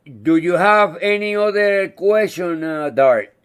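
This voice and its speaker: A man whose first language is not English, hosting a casual live chat, talking in a halting way and searching for words. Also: high voice, animated, clean tone. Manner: friendly, thanks viewers by name. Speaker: livestream host.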